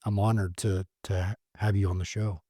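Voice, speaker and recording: A man co-hosting a podcast who chats casually in a folksy, self-deprecating and slightly halting way. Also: low-pitched, flat delivery, dark tone, breathy edge, dry recording, clean studio sound. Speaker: podcast co-host. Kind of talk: casual chat.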